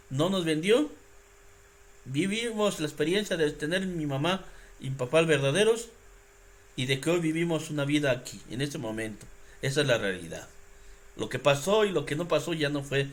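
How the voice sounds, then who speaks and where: A man's voice: on the high side, fairly bright tone. A Mexican man hosting a reaction video, talking in a casual, reflective monologue that rambles and halts with many restarts and fillers.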